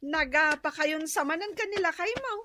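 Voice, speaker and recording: A woman's voice: high-pitched, normal speed, pushed and bright, no room sound. A woman with an Ilocano accent voicing a nosy, gossipy neighbour in a comedy radio drama. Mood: amused, gossipy. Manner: gossipy, exclamatory.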